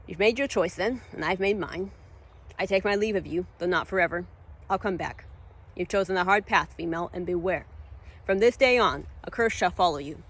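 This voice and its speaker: A woman voicing a cruel, composed male villain in a story, hushed and dark, with a taunting, deliberate delivery. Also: lowish voice, husky. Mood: ominous, menacing. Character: sly, cruel male fire-wielding villain, menacing and composed.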